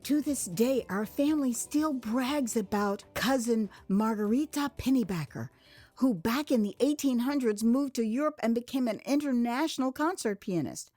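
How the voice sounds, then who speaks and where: An American woman narrating her own memoir as an audio drama, in an expressive, theatrical way with pauses. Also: fairly high pitch, no echo. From Texas, United States. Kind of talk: dramatized first-person audio memoir storytelling. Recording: music behind the voice. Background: music.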